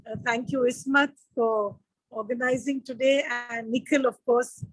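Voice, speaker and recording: A woman giving a halting vote of thanks on a video call, with many fillers. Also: fairly high pitch, steady tone, breathy edge, dry recording, low-quality recording.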